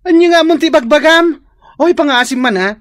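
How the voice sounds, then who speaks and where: A woman with an Ilocano accent playing a blunt, exasperated friend, animated and exclamatory, in a radio drama. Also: high-pitched, normal speed, no echo, clean studio sound, natural voice.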